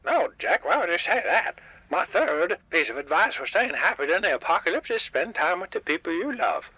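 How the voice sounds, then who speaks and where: A crotchety, folksy old diner owner from a comedy radio drama, acted by a man. The voice is sharp and bright with big swings in pitch, heard through a slightly roomy radio sound. He is gruff but warm-hearted underneath. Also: sing-song, a little fast, lo-fi sound, full character voice. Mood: earnest, folksy. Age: older. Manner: grumpy, folksy, hesitant phrasing.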